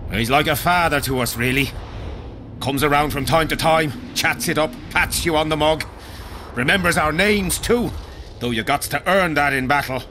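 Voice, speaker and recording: An actor playing a proud, rough army veteran in a fantasy video game, speaking in a blunt, rustic soldierly manner. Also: male voice, a little high, normal speed, bright, forceful tone, a little hiss or hum. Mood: proud, fond. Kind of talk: video game dialogue acting.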